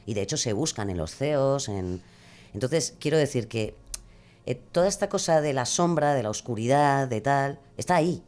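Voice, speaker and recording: Mujer española de voz muy grave. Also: female voice, very deep voice, lively intonation, moderate pace, darkish tone. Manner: rambling, hesitant, thinking aloud. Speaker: podcast guest, true crime podcaster. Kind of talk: casual, animated discussion.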